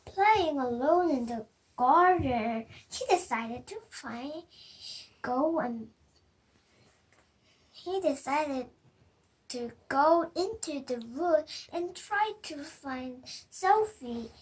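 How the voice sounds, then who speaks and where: A girl of about seven reading a children's storybook aloud, halting and deliberate, with small stumbles. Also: high voice, animated.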